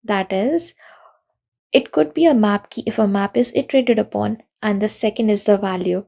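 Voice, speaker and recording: Creaky-voiced young woman giving a tech talk. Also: medium pitch, moderate pace. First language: not Russian. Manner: earnest, steady explanatory delivery. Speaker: conference speaker. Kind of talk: recorded technical talk.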